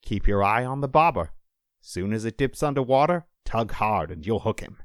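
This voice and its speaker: A man's voice: fairly low pitch, lively intonation, moderate pace, no echo, clean studio sound, pitched above the performer's own voice, close to an everyday voice. A man voicing a tender, earnest father who is desperately attached to his young son, in an audiobook story. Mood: tender, encouraging. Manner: emotional, earnest.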